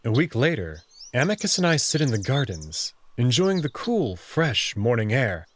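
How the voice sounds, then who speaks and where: A man's voice: mid-range voice, big pitch swings, moderate pace, a little hiss or hum, lightly acted. A man playing an anxious, skeptical young human hero who narrates his own story in a visual novel, in a plain, casual, wry way. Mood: uneasy, reflective. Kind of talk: first-person visual novel narration and dialogue.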